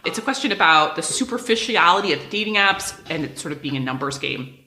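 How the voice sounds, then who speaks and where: A woman in her thirties hosts a podcast in a lively, animated way, her pitch swinging widely. Her voice is low-pitched yet bright and forceful, and it rings in an echoey room. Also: fast. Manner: animated, laughs often. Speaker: podcast host. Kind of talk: casual podcast chat.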